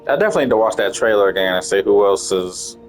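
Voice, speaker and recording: A man's voice: fairly low pitch, racing, faint music behind. A man giving casual reaction commentary as he plays through a game's story.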